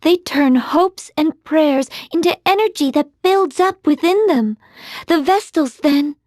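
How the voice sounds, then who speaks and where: A woman playing a solemn, devout young priestess in a video game, speaking formally and earnestly in measured phrases. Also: sits high, a little mellow, no echo, studio quality.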